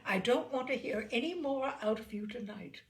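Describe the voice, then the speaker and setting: A woman's voice: on the high side, animated, normal speed. A woman voicing a capable, stern mother with a cool, commanding manner in a humorous story. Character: grim, capable, unflappable mother.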